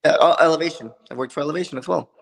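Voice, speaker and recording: A young man from Puerto Rico with a lisp, a wrestler answering interview questions in a relaxed, joking way with many ums. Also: fairly high pitch, rapid-fire, gravelly. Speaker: wrestler, guest. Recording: long reverb.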